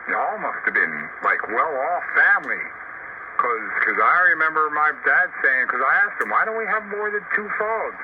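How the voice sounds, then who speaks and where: A man's voice: a little high, very animated, moderate pace. An older man in casual, reminiscing conversation, talking over a two-way radio as one of a group of operators. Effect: radio transmission. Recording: dull noisy two-way radio transmission.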